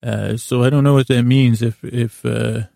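A man with a gravelly, creaky voice hosts a bedtime show in a calm, lulling manner. His delivery stays flat and even as he meanders, hesitates and doubles back on his phrases. The sound is dry, with no room around it. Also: medium pitch, no echo. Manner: hesitant, halting, circles back and repeats himself. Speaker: host of a sleep podcast. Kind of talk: meandering, rambling bedtime monologue.

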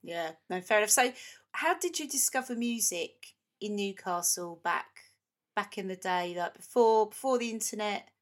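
A woman's voice: mid-range voice, expressive, moderate pace. A woman interviewing a guest in a casual, chatty and encouraging way. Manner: chatty and encouraging, with short reactions.

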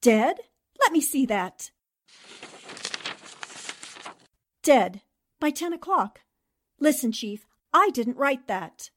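An actress playing a spirited, indignant newspaper columnist in a theatrical 1940s radio-drama style. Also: female voice, high voice, slow, a little hiss or hum, full character voice. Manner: expressive, theatrical. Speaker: actor in an audio drama.

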